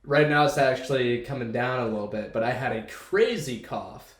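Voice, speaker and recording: A man's voice: medium pitch, moderate pace. A young man co-hosting a podcast, chatting in a laid-back, rambling way with a stuffed-up nose. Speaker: podcast co-host. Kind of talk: casual chat. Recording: echoey room.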